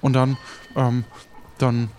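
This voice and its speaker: A man's voice: medium pitch, monotone, a little mellow, natural voice. A man playing a practical but hesitant pirate crewman in improvised audio role-play, often restarting his phrases. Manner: halting, repeats phrase openings.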